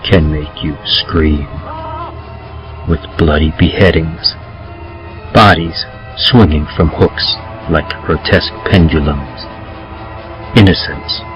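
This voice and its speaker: A man reads horror poetry in a deep voice. His pace is slow and measured, with a theatrical carnival-barker delivery. Music plays behind him, and the recording is a little muffled. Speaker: podcast host and reader. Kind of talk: dramatic horror poetry reading.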